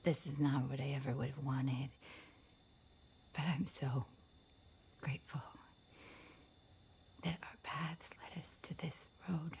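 Woman acting hushed, creaky woman confessing forbidden love. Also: rumbling low, fairly even intonation, slow, pauses often, a little hiss or hum, exaggerated voice. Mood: tender, wistful. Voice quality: breathy, whispery, creaky. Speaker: actress performing a film monologue. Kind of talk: intimate dramatic monologue.

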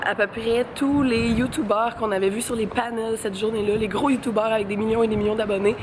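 A young woman from Quebec with a Québécois accent, vlogging excitedly at a convention with a stuffy cold, chatty and full of fillers. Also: on the high side, fast, heavy background noise. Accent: Québécois French. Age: young adult. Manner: bubbly, chatty, lots of fillers and English words. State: congested with a cold. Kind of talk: excited travel vlog. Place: busy convention halls and outdoors. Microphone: handheld camera at arm's length. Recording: loud crowd and music behind.

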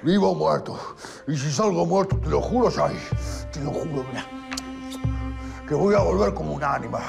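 Gravelly rioplatense gaucho locked up, bitter and desperate, played by a man, music under it. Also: medium pitch, measured pace. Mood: raging. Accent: Rioplatense Spanish. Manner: swings from soft consoling to shouting rage. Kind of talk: drama acting.

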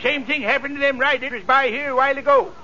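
A man's voice: extremely high pitch, steady tone, fast talker, a loud crowd. An actor playing a sly, folksy country man with a rustic drawl in 1940s radio comedy. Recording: dull, noisy vintage radio recording.

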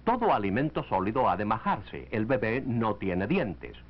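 A man reading scripted narration for a 1940s educational film, in a measured, didactic way. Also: fairly low pitch, sing-song. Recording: dull lo-fi 1940s film soundtrack.

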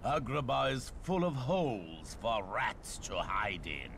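An actor playing a suave, sinister sorcerer villain in a video game, with an oily, menacing, mock-courteous manner. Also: male voice, mid-range voice, takes it slowly, vocal fry, noisy background. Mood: scheming. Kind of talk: animated game villain acting.

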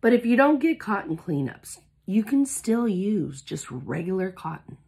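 A woman in her forties who has lost her voice, talking hoarsely with a cough in a chatty, gushing unboxing vlog, seated in a small room in front of a phone camera. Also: mid-range voice, expressive, a little slow, a little mellow. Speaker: independent product consultant. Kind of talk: casual product unboxing vlog. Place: small indoor room with shelves, seated at a table.

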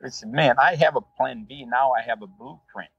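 A Brazilian man, Portuguese his first language, speaks English with a husky voice. He rambles casually with lots of fillers. The sound is dry and a little dull. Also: mid-range voice, moderate pace, no room sound. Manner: relaxed, rambling, many fillers. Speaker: rideshare driver guest. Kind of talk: casual interview storytelling.

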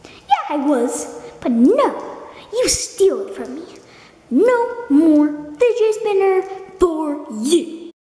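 A woman voicing a whiny, indignant young sock puppet in a homemade puppet show, with dramatic, drawn-out complaints. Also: very high-pitched, sing-song, mellow, exaggerated voice. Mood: outraged. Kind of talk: improvised amateur puppet show dialogue. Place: indoors, room at home with wooden floor. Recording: echoey hard-floored room, faint hiss.

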